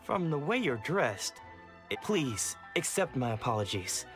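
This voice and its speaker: A young swordsman speaks in a mid-pitched voice with earnest, formal and protective conviction. It is video game dialogue with faint music underneath. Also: big pitch swings. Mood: apologetic, respectful. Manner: formal, earnest, measured phrasing. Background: music behind the voice.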